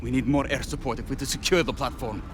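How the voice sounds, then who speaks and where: An actor playing a hard-pressed, forceful military commander giving urgent briefings in a video game. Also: male voice, medium pitch, fairly even intonation, fast, background noise, natural voice.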